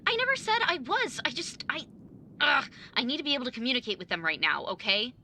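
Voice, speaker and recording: A woman plays a cranky, impatient younger sibling in an audio drama. The young voice is lively, swinging up and down, very bright and tense, and a little high. She talks in a blunt, emphatic way. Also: quick pace, slight background noise, heavily acted. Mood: agitated.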